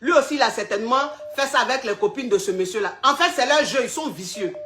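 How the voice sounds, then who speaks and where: A woman's voice: on the high side, expressive, fast talker, crowd noise. A woman hosting a live advice call, blunt and repetitive, calling the caller "ma chérie". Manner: blunt, calls caller "ma chérie", repeats herself. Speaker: advice show host.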